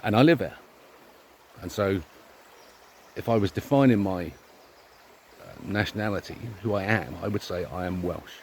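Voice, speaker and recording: A man's voice: low voice, measured pace, pauses often, slight background noise. A man with an English accent delivering a combative opinion rant to camera outdoors, firing off rhetorical questions and swearing. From England.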